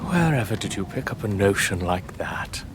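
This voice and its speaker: A man's voice: fairly low pitch, sing-song, moderate pace, heavy background noise. A man acting a haughty, sceptical manor lord in a period audio drama, with pompous, commanding and formal diction. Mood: concerned, indignant.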